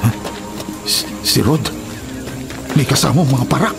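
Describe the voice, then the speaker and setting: Gravelly, hot-headed criminal logger. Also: male voice, medium pitch. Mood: alarmed. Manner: gloating, blustering, quick-tempered. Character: brazen, vengeful male illegal logger, hot-headed villain. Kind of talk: Tagalog radio drama acting. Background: dramatic background music.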